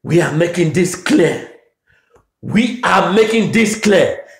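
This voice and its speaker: A man from Anglophone Cameroon giving a fiery political address, shouting and repeating his demands in a declamatory way. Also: sits high, lively intonation, slow pace. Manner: shouting, repetitive declamatory oratory. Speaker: political activist making a public address. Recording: echoey room.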